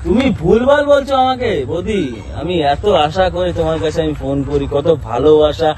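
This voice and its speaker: A man's voice: on the high side, fairly quick, crowd noise. A man making a prank phone call, teasing and suggestive, chuckling as he plays on double meanings. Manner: playful, suggestive, chuckling through his lines. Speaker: prank caller.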